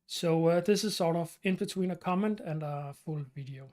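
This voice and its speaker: A man from Denmark with a Danish accent hosts his channel's live stream Q&A. He talks in a halting way, with many fillers and restarts. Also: on the high side, a little mellow. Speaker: channel host.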